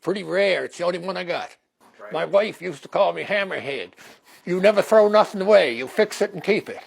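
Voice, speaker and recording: A man's voice: high-pitched, a little fast, creaky, breathy edge. An older man from Nova Scotia, Canada, talking in a plain, homespun way about his hobby in a local news interview clip. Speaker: hammer collector in a news clip.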